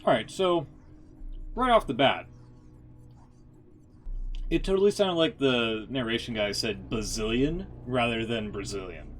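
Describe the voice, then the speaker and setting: A man's voice: mid-range voice, expressive, measured pace, long pauses, a bit bright. A man streaming casual, unscripted commentary, informal and rambling as he thinks aloud. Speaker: streamer reacting to game story readings. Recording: faint music behind the voice. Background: music.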